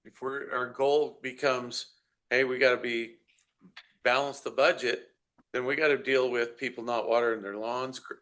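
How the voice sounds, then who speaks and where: A man's voice: mid-range voice, steady tone, normal speed, a bit bright. A man on a city council talking in a folksy, rambling way, often repeating and restarting. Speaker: council member. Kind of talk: council workshop discussion.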